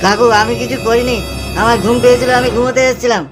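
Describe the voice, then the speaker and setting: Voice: mid-range voice, little pitch movement, fast, over music, close to an everyday voice. A performer voicing a cheeky, whiny, foul-mouthed village boy in a comedy cartoon, with a West Bengal Bengali accent. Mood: whiny, protesting. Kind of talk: amateur comedy cartoon dubbing.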